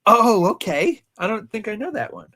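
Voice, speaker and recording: A man's voice: high-pitched, sing-song, moderate pace, sharp tone. A man with a Rhode Island accent, chatting casually and teasingly as co-host of a movie countdown show. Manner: joking, teasing. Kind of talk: casual film chat.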